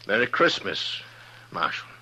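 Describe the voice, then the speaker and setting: An actor playing a lonely, guilt-ridden former sea skipper adrift in the Old West, speaking in a halting, folksy way in a 1950s radio drama. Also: male voice, on the low side, sing-song, bright, forceful tone, full character voice. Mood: wistful, hopeful. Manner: halting, folksy, plain-spoken. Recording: noisy 1950s radio recording.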